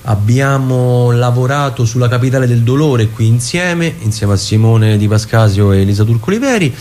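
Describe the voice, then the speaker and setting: A man's voice: medium pitch, normal speed, a little mellow, a little hiss or hum. A man chatting casually as a theatre guest on the radio, wry and rambling. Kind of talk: casual radio interview chat. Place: radio studio.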